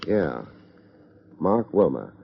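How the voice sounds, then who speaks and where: An actor playing a tough, wry American private investigator in a 1950s radio drama, terse, slangy and deadpan. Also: male voice, deep voice, very slow, creaky, husky, exaggerated voice. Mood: brisk questioning. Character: tough, wry male private investigator narrating his case. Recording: dull old radio recording, music behind.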